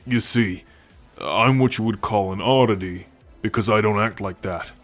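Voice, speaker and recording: A man voicing a friendly, teasing alien soldier in an intimate ASMR roleplay, talking in a gentle, playful, confiding way. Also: lowish voice, moderate pace, faint music behind, natural voice. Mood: sincere, reassuring. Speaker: voice actor in an audio roleplay. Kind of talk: ASMR roleplay, intimate one-sided conversation.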